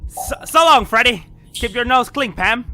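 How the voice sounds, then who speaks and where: A man's voice: very high voice, expressive, sharp tone, slight background noise, exaggerated voice. An actor playing a jittery, slangy tough guy in an old-time radio thriller. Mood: nervous. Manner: slangy, halting. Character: jittery tough guy, dismissive fellow captive. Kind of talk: 1930s-style radio thriller acting.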